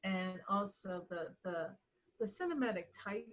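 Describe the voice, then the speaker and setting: A woman guest in a casual interview, talking enthusiastically in a halting way and repeating words for emphasis. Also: medium pitch, lively intonation. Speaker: interview guest, writer and director.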